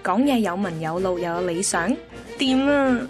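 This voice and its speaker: A woman's voice: mid-range voice, lively intonation, normal speed, music in the background, lightly acted. A woman with a Hong Kong Cantonese accent playing a picky, chatty host in her twenties, narrating a radio drama conversationally. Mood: breezy. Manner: cheeky, self-assured, conversational.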